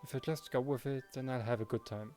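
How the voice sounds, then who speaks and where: A man's mid-pitched voice with music playing behind it. He narrates a visual novel in the first person, acting out the protagonist's thoughts and lines as he reads. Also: steady tone, fast, darkish tone. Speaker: Let's Play narrator reading the protagonist's lines.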